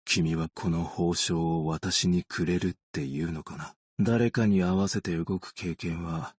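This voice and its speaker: A man voicing a weary, gentle, long-lived optician in a video game, measured, understated and quietly melancholic. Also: sits low, fairly even intonation, slightly rough, no room sound, clean studio sound. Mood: weary, resigned, then composed, courteous. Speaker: video game character voice actor. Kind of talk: scripted game character voice lines.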